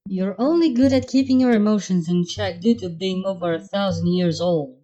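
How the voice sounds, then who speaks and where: A woman's voice: medium pitch, normal speed, warm tone, lightly acted. A woman voicing a guarded, modest unicorn mare in a story reading, with a dry, understated delivery. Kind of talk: fan fiction character reading. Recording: dull, muffled sound under music.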